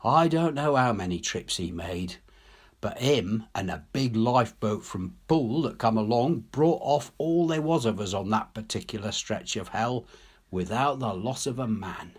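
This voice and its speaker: A man's voice: on the low side, normal speed, slightly rough. A man reading a story as a working-class English soldier, telling his war tale colloquially, wry and awed. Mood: earnest, admiring. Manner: colloquial, ungrammatical, animated storytelling. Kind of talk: dramatic audiobook reading.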